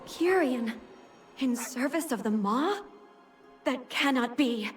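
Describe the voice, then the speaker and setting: A woman's voice: sits high, expressive, takes it slowly, faint music behind. A woman voicing an earnest, dutiful celestial guide in a video game, formal and courteous. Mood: troubled.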